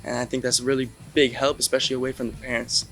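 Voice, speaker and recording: A young man with a level, mid-pitched voice answers questions in a relaxed, conversational way. His delivery stays flat and even, and he keeps checking in with a casual "right?". Faint music plays softly behind him. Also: rapid-fire, vocal fry, low-quality recording. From Canada, Ontario. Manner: easygoing, tags many lines with "right?". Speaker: interview guest, baseball catcher.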